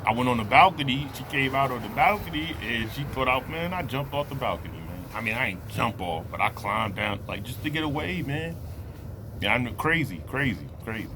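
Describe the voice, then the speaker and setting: Guy late 30s, kinda deep voice, slangy off the cuff vlog talk, noisy like a vehicle. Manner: colloquial street slang, frequent "you know" and "man". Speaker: vlogger giving a personal testimony. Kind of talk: casual unscripted personal talk to viewers.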